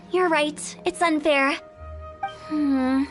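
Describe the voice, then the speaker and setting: A cheeky young funeral parlor director in a video game. The voice sits extremely high, with theatrical, teasing delivery. Game music plays behind it. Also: female voice, extremely slow. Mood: chirpy, scheming.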